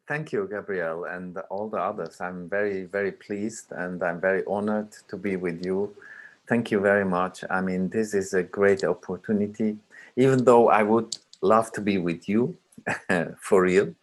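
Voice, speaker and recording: A man in his sixties, originally from Iraq, speaking as a film director at a remote panel, slow and halting with many fillers and restarts. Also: deep voice, steady tone.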